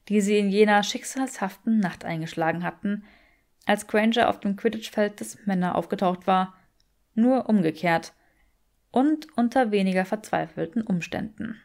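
A woman reading fiction as an audiobook narrator in scripted narration. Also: medium pitch.

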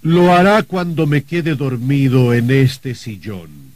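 A man's voice: mid-range voice, darkish tone, lightly acted. An actor playing a wry, confident rich man facing his own murder, in theatrical old radio drama style. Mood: wry, calm. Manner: theatrical, deliberate, sardonic. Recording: vintage radio drama recording.

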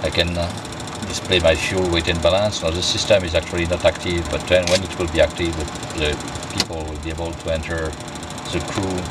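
Deep-voiced male test pilot over engine noise. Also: flat delivery, brisk. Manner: methodical, explains step by step. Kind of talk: cockpit walkthrough demonstration. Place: helicopter cockpit on an airfield, door open. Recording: loud engine and outdoor noise.

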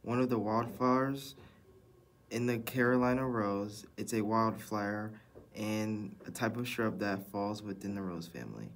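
A man's voice: lowish voice, a little slow. A teenage boy narrating a school project, reading his notes aloud. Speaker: student presenter.

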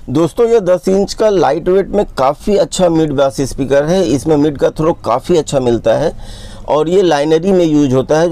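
A man's voice: mid-range voice, gravelly, dark tone. A man with an Indian accent giving a casual step-by-step tutorial, explaining plainly and repeating his instructions. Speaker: repair tutorial host.

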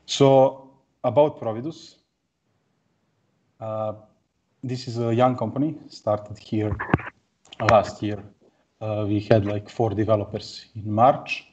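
A man, giving a tech conference talk over a video call in a plain, steady way with many pauses. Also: on the low side, lo-fi sound. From Serbia. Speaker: conference speaker. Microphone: headset or laptop mic on video call.

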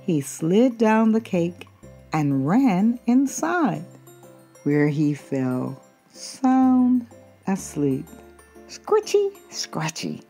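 A woman's voice: medium pitch, warm tone. A woman reading a children's picture book aloud expressively, drawing out key words. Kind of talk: storybook read-aloud.

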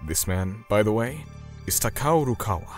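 A man playing a cold, righteous torturer for hire who narrates a manga dub in a measured, grim way. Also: lowish voice, lively intonation, faint music behind, lightly acted. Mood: grim, matter-of-fact.

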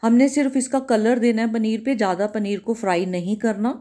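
A woman cooking-channel host, giving a calm, step-by-step recipe voice-over in a home kitchen. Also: fairly high pitch, a little fast. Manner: calm, instructive, repeats steps.

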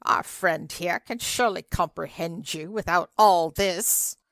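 A woman's voice: fairly low pitch, a little slow, gravelly, fairly bright tone, no echo. A woman voicing an indignant, prim old lady scolding a dinner guest in a story. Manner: prim, exasperated.

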